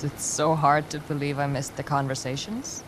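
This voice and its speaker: A woman acting a guarded, low-key young heroine. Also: moderate pace, noisy background, natural voice. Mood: teasing. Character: guarded young heroine with powers, dry and resolute. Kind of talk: video game cinematic acting.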